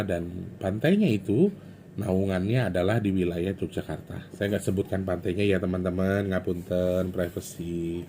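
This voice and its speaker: A man's voice: low-pitched, dark tone, a little hiss or hum. A man, talking to his viewers in a loose, rambling, chatty way and slipping into phrases. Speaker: spiritual content vlogger. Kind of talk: casual rambling monologue to viewers.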